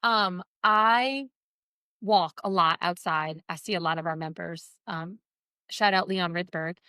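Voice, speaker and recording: A woman's voice: fairly low pitch, measured pace, sharp tone, smooth, no room sound, clean studio sound. Nasal woman co-hosting a podcast. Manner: chatty, joking, self-mocking. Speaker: podcast co-host. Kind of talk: casual podcast chat.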